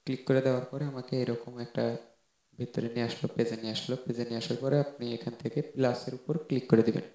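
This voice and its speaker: A man's voice: medium pitch, flat delivery, quick pace, dark tone. A man narrating an informal how-to tutorial, explaining step by step and often repeating himself. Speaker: tech tutorial host. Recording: roomy echoing voice-over.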